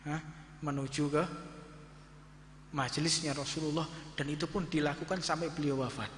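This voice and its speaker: A man's voice: a little high, fairly even intonation, normal speed, a little hiss or hum. A man in his thirties with a Javanese accent, giving a fervent sermon in a mosque and drawing out Arabic phrases. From Indonesia. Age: 30s. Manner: rises to impassioned, fervent preaching, draws out Arabic phrases. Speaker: preacher. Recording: echoey hall reverb.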